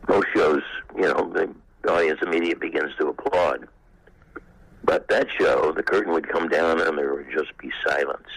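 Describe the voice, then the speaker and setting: Gravelly, low-voiced elderly man. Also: moderate pace, a bit bright, dry recording, poor audio. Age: 80s. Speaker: former actor being interviewed. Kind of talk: casual reminiscing.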